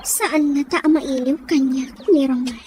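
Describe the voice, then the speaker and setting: Wistful, dutiful Ilocano Filipina daughter played by a woman, very high voice, audio drama, plaintive delivery, noisy ambience. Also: steady tone, a little slow, a little mellow. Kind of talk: audio comedy-drama acting.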